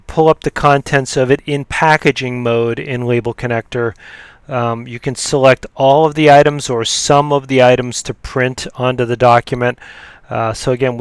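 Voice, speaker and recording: A man giving an unscripted software demo in a halting, matter-of-fact way as he explains each step. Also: medium pitch, smooth, dry recording. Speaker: software demonstrator. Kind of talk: unscripted screen-recorded software tutorial.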